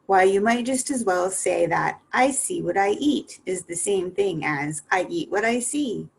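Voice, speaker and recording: A woman's voice: medium pitch, animated, unhurried, creaky, husky, exaggerated voice. A woman voicing a rude, riddling eccentric male hatter in a storybook reading, abrupt and curt.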